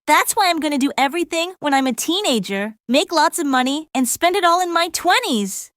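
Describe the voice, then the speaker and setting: A woman's voice: high-pitched, lively intonation, a little fast, a bit bright, dry recording, clean studio sound. A woman voicing a chatty, self-assured schoolgirl in a manga dub. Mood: bubbly, matter-of-fact.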